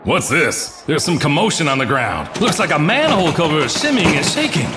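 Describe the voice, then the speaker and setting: A man playing an excitable arena announcer in a cartoon, calling play-by-play sports commentary. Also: on the high side, a little fast, very noisy. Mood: brisk, tense.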